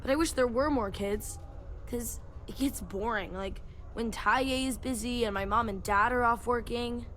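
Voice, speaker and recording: A woman's voice: fairly high pitch, normal speed, a bit bright, slight background noise, lightly acted. A woman voicing a chatty, childlike young girl from Mars as video game character dialogue. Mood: wistful. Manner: eager, childlike, rambling.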